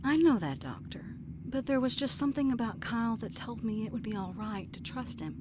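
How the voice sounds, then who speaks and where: A woman playing a kind, trusting nurse in an audio drama, gentle and reassuring. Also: a little high, lively intonation, fairly quick, background noise, lightly acted. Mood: questioning, uneasy. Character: kind, trusting female nurse, new on ward.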